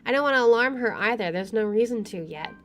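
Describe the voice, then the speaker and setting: A woman streamer reading a visual novel's narration aloud in an expressive, storytelling style. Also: fairly high pitch, fast talker, faint music behind. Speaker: let's play streamer. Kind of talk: expressive read-aloud narration of game text. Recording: faint background noise.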